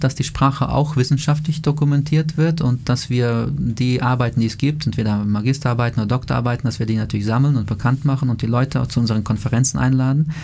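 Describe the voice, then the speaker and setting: A man speaks in a dark, mellow voice with little rise and fall, almost monotone. He explains patiently and in an orderly way, with small pauses and fillers. His first language is Plautdietsch, and the setting is a radio interview. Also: medium pitch. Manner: measured, explanatory, with frequent fillers. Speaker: interviewee, language association member.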